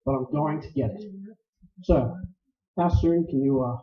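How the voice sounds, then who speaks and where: An actor playing a suave, scheming, treasure-obsessed villain in old radio style, speaking in a formal, unctuous, wordy manner. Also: male voice, medium pitch, unhurried, lightly acted. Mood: smooth, grandiose. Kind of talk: 1940s radio acting. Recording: dull lo-fi sound in echoey room.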